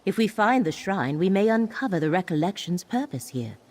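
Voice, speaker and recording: An actress playing a grave, scholarly guide in a fantasy video game, speaking in a measured, formal, solemn manner. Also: female voice, medium pitch, sing-song, a little mellow. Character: grave, scholarly woman guide to ancient ruins.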